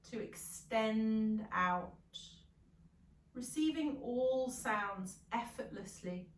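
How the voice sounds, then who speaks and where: A woman leading a guided meditation as a yoga nidra guide, calm, soothing and gentle, leaving long pauses. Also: a little high. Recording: roomy echo, distant from the mic.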